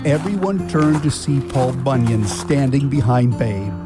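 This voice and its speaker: A man's voice: mid-range voice, unhurried. A man narrating a scripted children's story as the narrator of a radio drama. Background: guitar music.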